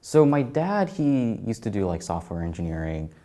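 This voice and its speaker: A man's voice: on the low side, very animated, normal speed, warm tone. A man in his early twenties with an American accent, answering interview questions casually and reflectively, often padding his phrases with "like". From Taiwan and California. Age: early 20s. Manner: tentative phrasing with frequent "like". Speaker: interviewee, graduate student.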